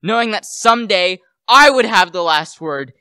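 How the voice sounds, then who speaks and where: Radio drama villain played by a man: brooding, vengeful widower, declamatory delivery, clear high-pitched voice. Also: animated, normal speed, sharp tone, dry recording, exaggerated voice. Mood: gloating, hateful. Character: bitter, gloating widower who poisoned his wife. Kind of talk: theatrical radio play monologue.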